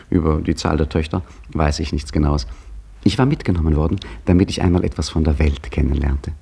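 An actor playing an aging Egyptian embassy caretaker who narrates his own story in a dry, gently teasing manner, in the style of a 1950s radio play. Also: deep voice, creaky, husky, heavily acted. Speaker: narrator and main character.

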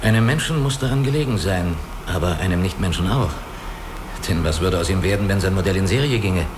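An actor in a radio drama playing a guarded, analytical spaceship crewman who speaks deliberately and reasons step by step. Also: sits low, expressive, noisy background. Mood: guarded, earnest. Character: guarded, analytical male spaceship crewman hiding his identity. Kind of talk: German radio play dialogue.

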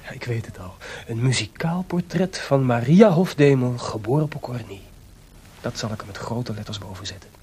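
An actor playing an impetuous, wry and defiant composer in a Dutch radio drama. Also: male voice, animated, normal speed, mellow. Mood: musing, wry. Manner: wry, informal, headstrong. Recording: clearly noisy, rumbling archive radio recording.

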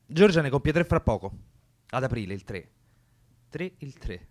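An actor playing a guarded, quietly melancholy young militant man, terse and subdued, in a radio drama. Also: medium pitch, very animated, moderate pace, lightly acted. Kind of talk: naturalistic radio drama dialogue.

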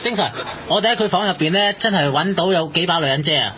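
一位男演員用香港粵語，喺廣播劇入面演一個爽快積極、匯報案情嘅男探員。. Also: male voice, a little high, pushed and bright, a little hiss or hum. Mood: brisk, excited. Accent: Hong Kong Cantonese. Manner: brisk, reporting. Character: brisk, eager male police detective reporting evidence. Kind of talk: Cantonese radio drama acting.

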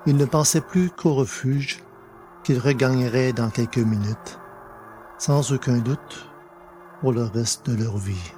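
Slow-paced male story narrator over music. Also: medium pitch, mellow. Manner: measured, with dramatic pauses. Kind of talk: dramatic scripted story narration.

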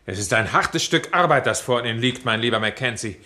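An actor playing a courteous, pompous district attorney with formal, emphatic delivery in a satirical radio comedy. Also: male voice, mid-range voice, expressive, brisk, pushed and bright.

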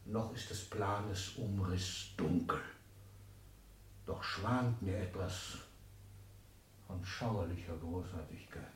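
A man's voice: low voice, slow, long pauses, a little hiss or hum. A man acting in a 1960s German radio play as an ailing, domineering old industrialist, speaking in clipped, imperious declamation with sudden outbursts. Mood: scheming, commanding. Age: older. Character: ailing, domineering old industrialist patriarch lecturing his children.

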